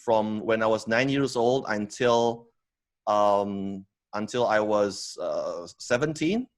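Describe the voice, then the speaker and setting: A man's voice: lowish voice, slow. A man in his thirties from Indonesia, a guest telling his story in a casual interview, hesitant with many fillers and restarts. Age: 30s. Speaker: podcast guest, company co-founder.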